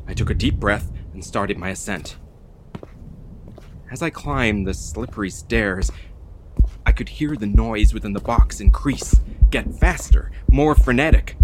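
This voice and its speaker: A man's voice: on the low side, noisy background, close to an everyday voice. An actor playing a hapless, anxious delivery carrier who tells his creepy tale with wry, theatrical drama in an audio play. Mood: frightened, urgent. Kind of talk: dramatic first-person narration in an audio play.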